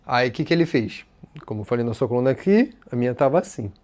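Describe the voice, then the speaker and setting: A man with a Rio de Janeiro accent tells his own story in a relaxed, conversational way. His voice sits at a mid pitch for a man. He slips into other people's voices when he quotes them. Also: quick pace. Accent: Rio de Janeiro Portuguese. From Rio de Janeiro, Brazil. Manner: casual and expressive, voices others' words in quotes. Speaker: channel host. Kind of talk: personal storytelling to camera.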